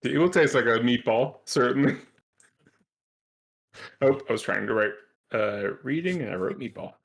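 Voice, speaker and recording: A man running a tabletop game session as game master, halting and full of fillers, sometimes muttering to himself. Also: mid-range voice, vocal fry.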